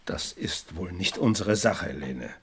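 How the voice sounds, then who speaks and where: A man's voice: low-pitched, expressive, slow pace, a bit bright, slight background noise, full character voice. A man playing an earnest, restrained married village pastor in a German radio drama. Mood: restrained, placating. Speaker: actor in a radio play.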